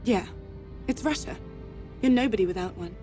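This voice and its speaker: An actress playing a confident, flirtatious, pampered socialite in a spy game, teasing and assertive. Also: female voice, mid-range voice, normal speed, mellow, faint music behind, lightly acted. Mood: doubtful, wry. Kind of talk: cinematic spy game acting.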